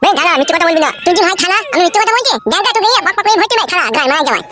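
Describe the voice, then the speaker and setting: A cheeky little tattletale boy, high-pitched and insistent. He repeats himself quickly in cartoon style, over music. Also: expressive. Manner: insistent, repetitive. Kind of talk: amateur comedy cartoon dialogue.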